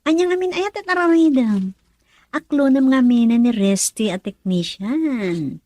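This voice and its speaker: A high, swooping young woman's voice, close and dry, playing a radio drama daughter in love. She is evasive and stubborn in her manner. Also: expressive, unhurried, mellow, dry recording. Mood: happy, teasing.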